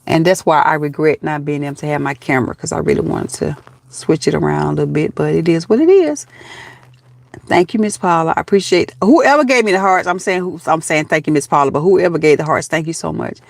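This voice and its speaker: A woman's voice: low voice, fairly quick, vocal fry, dry recording. A woman in her fifties from Georgia with a Southern accent, chatting casually with viewers on a live stream, laughing often and calling them baby and honey. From Georgia, USA. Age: 50s.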